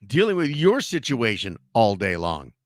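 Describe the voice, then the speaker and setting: Hoarse male sports talk host, lively pitch, dry close sound, loose and ranting. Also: moderate pace, dry recording. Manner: animated, ranting, with frequent restarts. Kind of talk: casual sports talk commentary.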